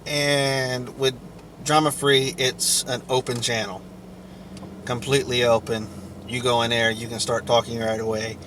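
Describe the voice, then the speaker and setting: Male vlogger, unhurried and halting, telling a rambling personal story; faint vehicle-like noise behind. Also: medium pitch, little pitch movement, fairly bright tone. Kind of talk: rambling personal account to camera or mic.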